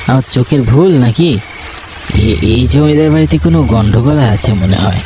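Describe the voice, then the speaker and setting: A man's voice: mid-range voice, background noise, lightly acted. A man narrating a horror cartoon in the first person, with a suspenseful, theatrical delivery. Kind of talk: dramatic horror story narration.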